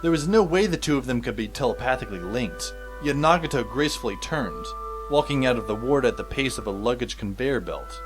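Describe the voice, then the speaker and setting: A man's voice: medium pitch, animated, fairly quick, music in the background, lightly acted. A man playing a wry, exasperated teenage schoolboy who narrates his story in a dry, sardonic way. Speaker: narrator and lead actor in a fan audio drama. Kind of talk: scripted first-person narration.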